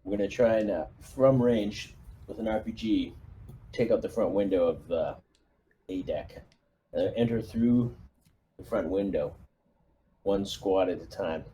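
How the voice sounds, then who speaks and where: A man's voice: low-pitched, measured pace, long pauses, mellow, slight background noise. A man playing a squad leader in a tabletop game, chatting casually in and out of character. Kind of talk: casual in-character roleplay chat.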